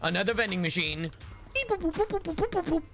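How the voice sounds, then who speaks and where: A man's voice: very high-pitched, huge pitch swings, fast talker, a bit bright. A man livestreaming a game with casual, playful commentary, slipping into sing-song sounds and mimicry. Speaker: livestreaming gamer. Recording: faint game music behind.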